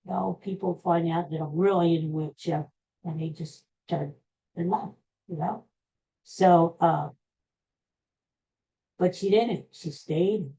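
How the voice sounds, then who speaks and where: The audio is dull and lo-fi, carrying the very soft, dark-toned voice of an older man with an American accent. He hosts a casual storytelling podcast, wandering through his sentences with halting starts and a self-deprecating manner. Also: on the high side, steady tone, vocal fry. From Pennsylvania, USA. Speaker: podcast host.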